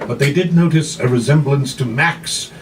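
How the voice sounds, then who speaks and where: A man giving an expressive, dramatic reading of literary fiction to a live audience in a cafe, with weighted pauses. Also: medium pitch. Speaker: actor reading a novella aloud. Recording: noisy live room, distant mic.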